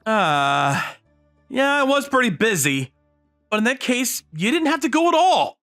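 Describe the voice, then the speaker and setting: Man voicing bashful teenage boy, animated delivery. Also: very high voice, a little slow, soft background music, full character voice. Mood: flustered. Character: modest, bashful high school boy narrating his romance. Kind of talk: anime-style manga dub acting and narration.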